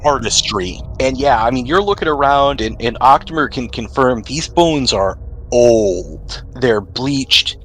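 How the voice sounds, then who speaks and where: A man runs a tabletop role-playing game as game master. He describes things in a conversational storyteller's way, at an ordinary middle pitch, with some faint noise behind him. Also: lively intonation, a little slow, a bit bright, low-quality recording. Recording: noticeable background noise.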